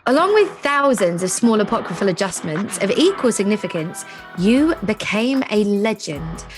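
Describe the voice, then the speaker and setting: A woman playing a composed, commanding ad agency executive in an audio drama, speaking deliberately. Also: medium pitch, very animated, music in the background, natural voice. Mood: knowing, grave.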